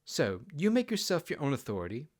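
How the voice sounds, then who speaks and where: A man's voice: a little high, huge pitch swings, brisk, pitched above the performer's own voice. A man voicing a proud, huffy fox queen in an audiobook, with a formal, haughty way of talking. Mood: haughty, demanding.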